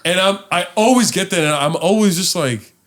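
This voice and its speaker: Animated young man on a podcast. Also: high-pitched, fairly quick. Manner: animated storytelling, laughs often. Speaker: podcast co-host. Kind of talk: casual chat. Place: home studio room. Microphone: studio mic on boom arm with headphones.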